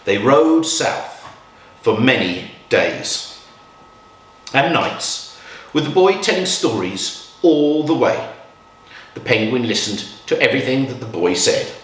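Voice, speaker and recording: A man reading a picture book aloud to children in a measured, expressive storytelling style with dramatic pauses. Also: mid-range voice, slight background noise. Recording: roomy echo, distant from mic.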